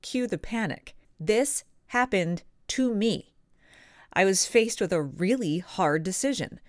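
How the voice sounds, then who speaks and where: A woman hosting a vlog as a professional voice actor, speaking straight to the audience in emphatic, deliberate phrases with pointed pauses. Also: mid-range voice, expressive, no room sound, clean studio sound. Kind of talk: direct-to-audience scripted vlog monologue.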